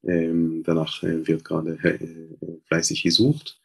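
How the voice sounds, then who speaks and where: A man's voice: low voice, completely flat delivery, measured pace, vocal fry, darkish tone, slightly rough. A man from Israel, a Hebrew speaker, talking hesitantly as an interview guest with many filler sounds and restarts. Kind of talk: casual interview talk.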